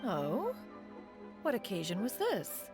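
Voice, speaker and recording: An actress playing a proud, refined immortal master in a video game, speaking formally in theatrical archaic phrasing over music. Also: female voice, medium pitch, huge pitch swings, takes it slowly. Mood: stately.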